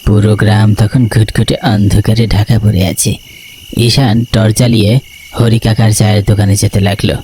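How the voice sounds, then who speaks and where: A man's voice: on the low side, level tone, moderate pace, dark tone, a little hiss or hum. A man narrating a scripted horror story for a cartoon.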